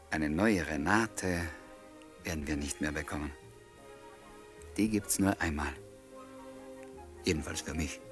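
A kindly lodger in a German radio play. His voice is very low. He speaks in a wry, reflective way with an understated touch of wistfulness. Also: fairly bright tone. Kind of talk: German radio play acting and first-person narration.

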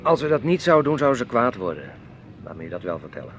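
An actor playing an easygoing but dismissive, evasive husband in a naturalistic radio play, with a Netherlands Dutch accent. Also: male voice, mid-range voice, big pitch swings, quick pace, lo-fi sound, close to an everyday voice. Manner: dismissive, conversational. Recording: noisy outdoor street ambience with traffic.

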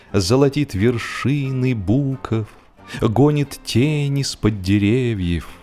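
A man narrating a humorous literary classic in an expressive, theatrical and wry way, with deliberate phrasing. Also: lowish voice. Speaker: audiobook narrator and actor. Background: music.

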